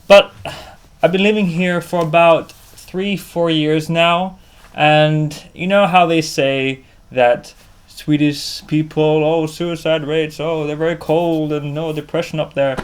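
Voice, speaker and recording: A man's voice: a little high, fairly even intonation, slow, clean tone. A man from Corfu, Greece, presenting a casual personal vlog in an informal storytelling way. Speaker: vlogger and presenter. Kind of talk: casual to-camera personal narration.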